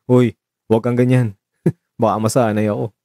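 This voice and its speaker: A man's voice: mid-range voice, level tone, a little slow, warm tone, no room sound, studio quality, close to an everyday voice. A man with a Filipino accent acting a teasing, playfully flustered young host on a date in a conversational radio drama. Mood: playful, bashful.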